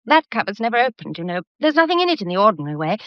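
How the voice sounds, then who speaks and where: A woman's voice: medium pitch, very animated, rapid-fire, dry recording, close to an everyday voice. An actress playing a plucky, anxious young woman, earnest and hesitant, in a radio mystery drama. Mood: urgent. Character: plucky, anxious young upper-class lady keeping her head. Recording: dull, muffled lo-fi audio.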